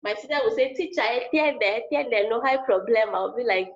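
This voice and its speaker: A high-pitched woman with a Nigerian accent, whose first language is Yoruba, chats as a guest speaker to language students. She gets animated and repeats herself to make a point. The sound is dull and lo-fi, like a video call. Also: fairly quick. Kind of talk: casual explaining to a class.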